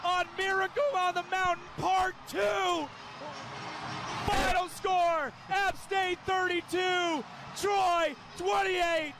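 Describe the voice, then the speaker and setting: A man calling a football game live on radio, shouting excitedly and repeating his calls over a stadium crowd. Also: very high voice, slow, music in the background. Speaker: radio play-by-play announcer. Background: loud stadium crowd.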